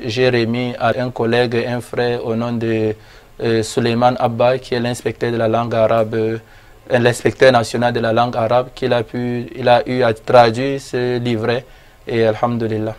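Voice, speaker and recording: A man who counts Arabic as his first language and is from Cameroon, answering interview questions in a measured, devout way with Arabic expressions. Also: mid-range voice, monotone, darkish tone, smooth. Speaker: imam and author, interview guest.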